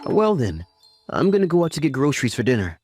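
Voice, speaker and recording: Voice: medium pitch, very animated, fast talker, darkish tone, natural voice. A performer voicing a caring, teasing young uncle in a romantic comedy manga story, mixing narration with dialogue in a mild manner. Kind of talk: anime-style recap narration and dialogue. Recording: music under the voice. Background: background music.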